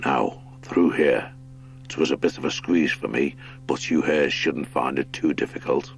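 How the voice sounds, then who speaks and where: Man acting a very deep old badger lord. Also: gravelly, faint music behind, exaggerated voice. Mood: commanding. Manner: deliberate, commanding, musing. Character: old gruff male badger lord, steady commander. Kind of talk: audiobook character acting.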